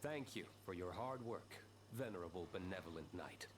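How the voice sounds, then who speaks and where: A man's voice: low voice, moderate pace. An actor playing a stern, aloof young nobleman in a game, cool, clipped and formal. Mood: cold. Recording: game audio with steady noise.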